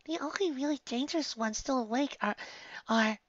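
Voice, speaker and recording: A man voicing a timid, hesitant, soft-spoken female pony in a storybook reading. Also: very high-pitched, moderate pace, dry recording, highs cut off, pitched above the performer's own voice.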